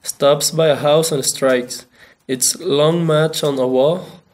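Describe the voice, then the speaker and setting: Slow-paced, higher-voiced man reciting poetry. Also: steady tone. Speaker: student reading a poem aloud.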